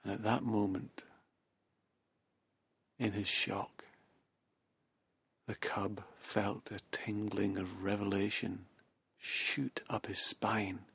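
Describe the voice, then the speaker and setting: A man guiding a hypnosis session, speaking softly and slowly in a half-whispered, soothing delivery. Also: fairly low pitch, level tone, vocal fry, breathy edge, no room sound. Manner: soft, slow, half-whispered. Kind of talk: guided hypnosis narration.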